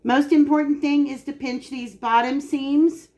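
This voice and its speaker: A woman hosting a home cooking video, her voice dry and raspy today, chatting casually as she explains each step. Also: sits high, steady tone, measured pace, a little mellow. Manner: chatty, explains steps while working. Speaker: cooking channel host. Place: home kitchen.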